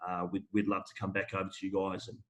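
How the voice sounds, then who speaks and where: Low, level-voiced man on a video call. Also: monotone, quick pace, a bit bright. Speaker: school rugby tour representative. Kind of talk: friendly video call chat.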